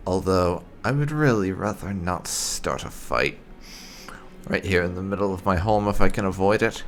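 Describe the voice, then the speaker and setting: A man's voice: sits low, lively intonation, unhurried, pauses often. A man role-playing a game character in a cheeky, teasing, thoughtful way. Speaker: gaming channel player role-playing his character. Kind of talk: in-character roleplay of game dialogue.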